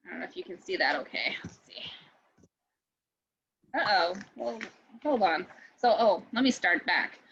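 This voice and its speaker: A woman's voice: lowish voice, expressive, normal speed, creaky, pauses often, fairly bright tone, a little hiss or hum. A woman hosting a children's storytime, reading and chatting playfully to young listeners through a dull, lo-fi microphone. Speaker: children's librarian, storytime presenter. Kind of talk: children's storytime read-aloud.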